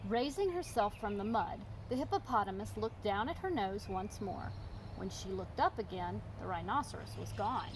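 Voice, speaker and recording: A woman's voice: mid-range voice, expressive, moderate pace, background noise. A woman reading a storybook aloud as narrator. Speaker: storybook reader.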